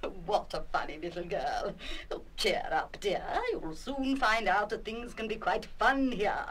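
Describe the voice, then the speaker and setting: An actress playing a kindly, amused headmistress in a children's radio play, genteel and indulgent. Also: female voice, medium pitch, expressive, a little slow, fairly bright tone. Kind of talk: full-cast children's radio play acting.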